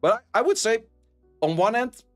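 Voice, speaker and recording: A man's voice: high voice, very animated, moderate pace, soft background music. A man with a Dutch accent chatting casually with his stream viewers, blunt and sweary, laughing often. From Netherlands. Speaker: streamer and esports caster. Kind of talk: casual live-stream chat reading viewer messages.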